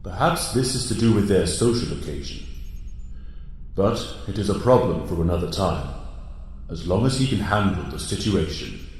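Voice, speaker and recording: Male actor as stern alien sage, low voice, cavernous reverb, formal measured phrasing, audio drama. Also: expressive. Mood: grave. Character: grave, wise male alien mentor, stern and watchful. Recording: cavernous echoing hall with long reverb.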